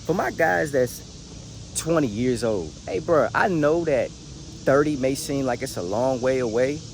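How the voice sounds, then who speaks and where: A man's voice: medium pitch, expressive, unhurried. An American man in his late twenties giving a casual motivational talk straight to the audience, emphatic and slangy, repeating words and phrases for weight. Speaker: motivational vlogger. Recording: noisy recording with clear background noise.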